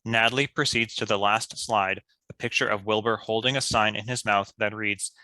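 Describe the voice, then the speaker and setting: A man's voice: fairly low pitch, fairly even intonation, normal speed, sharp tone, slight background noise. A man reading stage directions as scripted narration for a table read.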